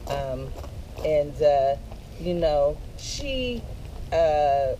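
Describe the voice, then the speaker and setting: A woman in her fifties sharing a memory at a memorial, hesitant, with many pauses and ums. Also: low-pitched, expressive, mellow, dull audio. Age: 50s. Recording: noisy outdoor recording.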